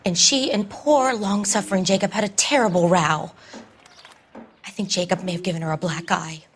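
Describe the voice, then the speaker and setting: A woman playing a prim, gossipy 1940s mother and neighbor in a crime game, measured and disapproving. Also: mid-range voice, steady tone, close to an everyday voice. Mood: prim, confiding. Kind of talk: period crime game acting.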